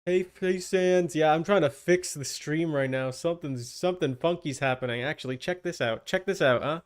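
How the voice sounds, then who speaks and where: A man's voice: on the high side, moderate pace, faint music behind. A man chatting casually on a game livestream, thinking aloud and muttering to himself. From Canada. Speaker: game livestreamer using an avatar.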